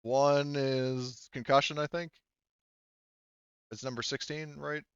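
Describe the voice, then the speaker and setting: A man's voice: mid-range voice, fairly even intonation, slow pace, muffled recording, slight background noise. A man acting as game master, casually narrating a tabletop roleplaying game, swearing and laughing readily.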